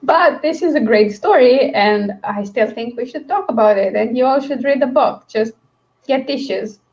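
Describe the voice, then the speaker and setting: A woman's voice: on the high side, normal speed, darkish tone, lo-fi sound. A woman hosting a casual book stream, chatting in a rambling, giggly way. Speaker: host of a book read-along stream.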